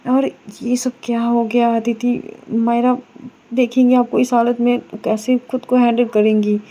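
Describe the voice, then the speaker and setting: A woman voicing a middle-aged mother-in-law who speaks gently and hesitantly, stumbling over her words. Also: on the high side, flat delivery, dull audio. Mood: sorrowful. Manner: halting, hesitant, many broken starts.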